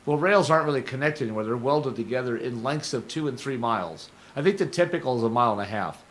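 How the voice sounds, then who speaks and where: An older man hosting a community radio show from a studio, talking casually in a rambling, digressive way while his voice is going hoarse. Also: mid-range voice, animated, fast talker, a little hiss or hum. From Stockton, California. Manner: rambling, digressive, self-correcting. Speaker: radio host. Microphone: studio microphone.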